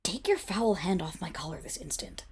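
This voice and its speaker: A woman's voice: fairly low pitch, animated, moderate pace, a little mellow, a little hiss or hum. A woman playing a proud, indignant noble wolf-girl servant in an ASMR roleplay, with haughty, formal diction that falters when she is flustered. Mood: grudging, seething. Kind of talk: ASMR roleplay, dramatic voice acting.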